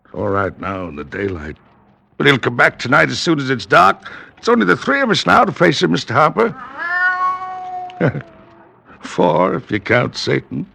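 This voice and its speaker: An actor playing a haunted, guilt-ridden, half-mad sea captain in a vintage radio drama, intense and melodramatic, with a rough voice. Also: male voice, medium pitch, big pitch swings, moderate pace, long pauses, bright, forceful tone, a little hiss or hum, full character voice. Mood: ominous, unhinged. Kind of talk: classic radio drama acting.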